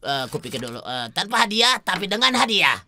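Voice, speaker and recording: A man's voice: sits high, sing-song, brisk, bright, forceful tone, slight background noise, full character voice. A man playing a capricious, teasing tsar with a theatrical, drawling delivery in a cartoon dub. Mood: sly, musing. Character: capricious teasing Tsar who sets riddles.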